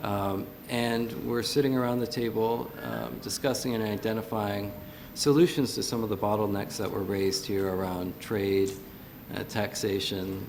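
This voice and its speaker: A man giving earnest panel remarks at a conference, with fillers and restarts. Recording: gravelly voice in a reverberant hall, faint rumble.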